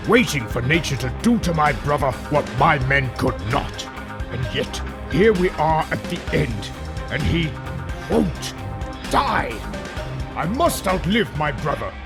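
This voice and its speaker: A pompous, scheming old magnate, played by a man holds forth in a fairly high voice that swings widely up and down. His speeches are grand and theatrical, and he bursts into furious shouting. Strong music plays beneath him throughout. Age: older. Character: rich old man, scheming and obsessed with outliving his brother. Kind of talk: fan comic dub acting. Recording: voice over loud background music.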